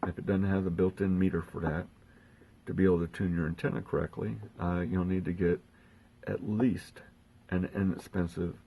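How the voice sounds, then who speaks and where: A soft-spoken man with a gravelly, husky voice, giving a casual unscripted how-to explanation in a halting, hesitant way with long pauses. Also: sits low. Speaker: host of a how-to radio and prepping channel.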